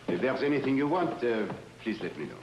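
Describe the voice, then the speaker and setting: Man playing a hesitant, fawning hotel keeper, swooping pitch. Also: very animated, fairly quick, background noise, natural voice. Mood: deferential, evasive. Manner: hesitant, obsequious. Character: deferential, evasive male tropical hotel keeper. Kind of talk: dramatic period TV acting. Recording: dull old broadcast, roomy, loud music.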